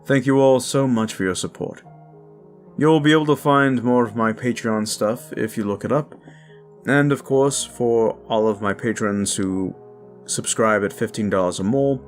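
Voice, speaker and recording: A man who makes audio roleplays, talking in a casual, upbeat and thankful way in an outro to his listeners. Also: medium pitch.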